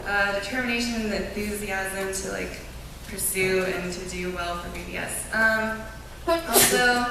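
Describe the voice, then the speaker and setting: Young woman, chatty and animated storyteller, far from the mic in a very echoey room. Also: medium pitch. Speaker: youth group member sharing a mission trip. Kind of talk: informal testimony to a church group. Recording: echoey room, distant mic, clear background noise.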